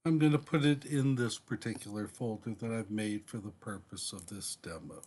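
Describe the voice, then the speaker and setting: A man presenting a step-by-step software demonstration in an unscripted, deliberate way, often pausing mid-sentence. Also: on the low side, husky.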